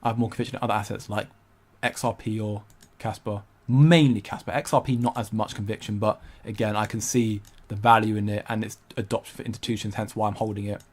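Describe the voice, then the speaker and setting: A man's voice: lowish voice, steady tone, quick pace. A young man hosting a casual crypto livestream, rambling and hesitant with frequent restarts while he reads out viewer comments. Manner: rambling, hesitant, many restarts, laughs often.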